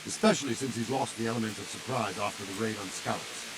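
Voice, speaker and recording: A man playing an alert, commanding medieval castle soldier in a video game, speaking briskly. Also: fairly low pitch, close to an everyday voice. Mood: puzzled, reasoning. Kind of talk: dramatic video game acting. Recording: clear hiss and background noise.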